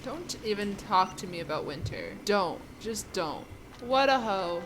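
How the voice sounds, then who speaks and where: A woman's voice: medium pitch, animated, a little slow, poor audio. A woman doing casual, joking gameplay commentary with a sarcastic streak. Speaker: game streamer. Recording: game music and effects behind.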